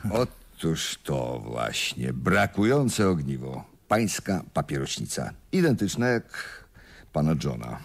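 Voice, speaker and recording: Very deep, gravelly police inspector. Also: male voice, big pitch swings, slow, heavily acted. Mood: triumphant explaining. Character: shrewd, self-assured older male police inspector. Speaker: actor in a radio play. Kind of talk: 1980s Polish radio drama acting.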